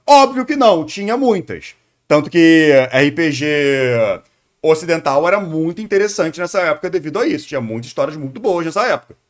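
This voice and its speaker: Brazilian man with a higher-pitched voice, casually opinionated. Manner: opinionated, explanatory, informal slang. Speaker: streamer reacting to a video. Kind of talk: casual live commentary, answering chat.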